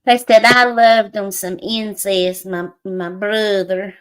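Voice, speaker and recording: A woman with a bright, forceful voice co-hosts an online stream. She chats casually and plainly, often repeating herself. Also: mid-range voice, steady tone, takes it slowly, clean tone. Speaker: livestream co-host. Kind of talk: casual chat.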